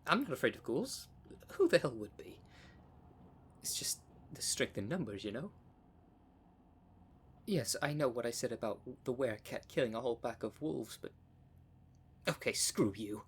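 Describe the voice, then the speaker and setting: A man's voice: medium pitch, expressive, moderate pace, long pauses, close to an everyday voice. Man playing a smug, cocky werecat, noisy background. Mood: defensive, scornful. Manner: smug, taunting, theatrical. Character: cocky, cunning male werecat shapeshifter, boastful and menacing. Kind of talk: ASMR roleplay monologue.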